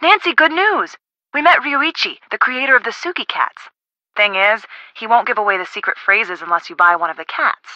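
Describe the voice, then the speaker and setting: A woman voicing a friendly young woman in a video game, casual, expressive and helpful. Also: a little high, moderate pace, no echo, muffled recording. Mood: excited.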